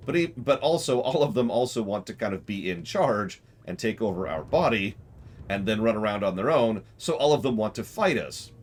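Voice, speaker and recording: A man's voice: fairly low pitch, a little hiss or hum. A man giving casual, analytical game commentary to a live chat in a blunt, conversational way. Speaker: game streamer. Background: game music.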